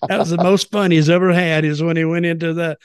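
A man's voice: a little high, flat delivery, fairly quick, clear, resonant tone, no room sound, clean studio sound. A man in his seventies hosting a podcast, telling stories in a casual chat from a desk microphone. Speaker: podcast host. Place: small home office, indoors. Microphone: desk microphone with headset.

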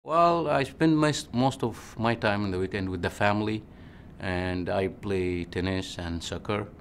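A man in his forties from Egypt with an Egyptian accent, a physician answering interview questions in an unhurried, halting way with restarts. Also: lowish voice, a little hiss or hum.

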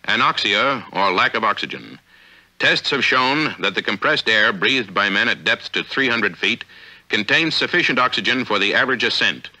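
An American man reading formal, measured, authoritative narration for a 1950s instructional film, on an old film soundtrack. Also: on the low side, pushed and bright, rough recording. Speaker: narrator of a military training film.

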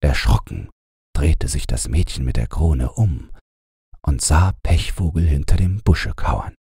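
A man's voice: rumbling low, expressive, a little slow, creaky, dark tone, breathy edge, dry recording, studio quality. A man reading a scripted bedtime fairy tale as an audiobook narrator.

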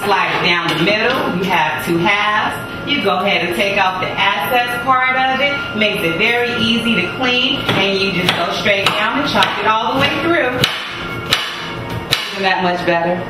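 A woman's voice: mid-range voice, normal speed. A woman hosting a cooking demonstration in a kitchen, talking in a chatty, folksy way as she walks viewers through each step. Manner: chatty, folksy, repeats "gonna go ahead". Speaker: cooking show host. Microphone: distant mic. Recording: echoey distant room with loud music. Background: loud music.

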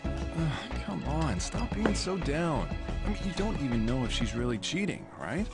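Upbeat, higher-pitched young guy. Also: very animated, moderate pace, creaky. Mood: encouraging. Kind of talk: video game cutscene voice acting. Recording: loud music over dialogue.

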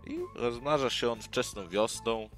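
A man's voice: medium pitch, unhurried, a bit bright, soft background music. A young man hosting a nature channel, narrating animal facts in an informal, explanatory way, often correcting himself mid-phrase. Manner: casual, with fillers and self-corrections.

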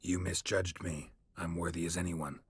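Very deep, gruff monster hunter. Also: male voice, moderate pace, dry recording. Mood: firm. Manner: terse, dry, deadpan. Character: gruff, stoic, grizzled middle-aged monster hunter.

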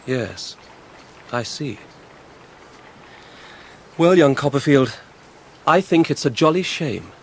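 An English actor playing a confident, patronising teenage schoolboy in a radio drama, with a smooth, lordly manner. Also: male voice, mid-range voice, animated, slow pace, long pauses, heavily acted. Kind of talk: British radio drama acting.

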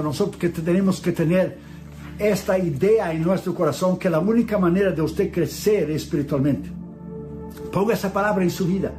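A man's voice: sits high, a little mellow. A middle-aged man preaching a sermon in an earnest tone, reciting scripture in a solemn cadence. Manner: earnest preaching, reads Bible verses aloud in solemn cadence.

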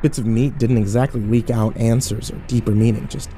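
A man playing an overwhelmed, foul-mouthed young man who narrates his ordeal haltingly in a horror audio drama. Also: mid-range voice, steady tone, mellow, slight background noise, lightly acted, low-quality recording. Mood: shaken, bewildered. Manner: halting, self-interrupting, swearing, incredulous.

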